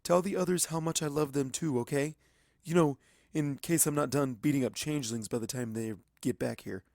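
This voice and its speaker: A man's voice: mid-range voice, fairly quick, gravelly, a little mellow, no room sound, clean studio sound, pitched above the performer's own voice, natural voice. A man voicing a brave young male dragon in a story reading, earnest and cocky to cover his fear. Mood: tender, scared. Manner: earnest, bravado over fear. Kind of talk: dramatic fan fiction reading.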